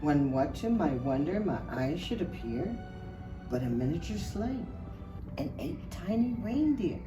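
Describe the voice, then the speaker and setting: Woman acting as Santa's wife, low voice, expressive unhurried storybook reading, distant mic, music behind. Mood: wondering. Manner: unhurried, expressive, addressing listeners directly. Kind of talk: storybook reading aloud to children.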